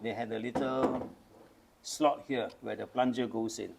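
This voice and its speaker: Older man from Malaysia, gravelly, methodical show-and-tell explainer. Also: mid-range voice, steady tone, measured pace, very noisy. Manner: patient, explains step by step with hands-on demos. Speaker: camera collector. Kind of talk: casual show-and-tell demonstration.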